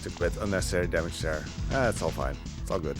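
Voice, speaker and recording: A man's voice: low voice, quick pace, music in the background. A man giving casual live commentary on his own speedrun, rambling with hesitant asides as he plays. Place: live gaming marathon event, game audio behind.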